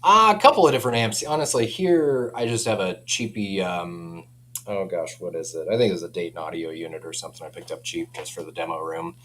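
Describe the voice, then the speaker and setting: Lowish guy doing a chatty product demo, upbeat n chuckly, hum and noise behind him. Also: a little fast, a bit bright. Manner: chuckling, enthusiastic, informal. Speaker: speaker company representative giving a product demo. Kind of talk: casual product chat. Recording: clear background noise with hum.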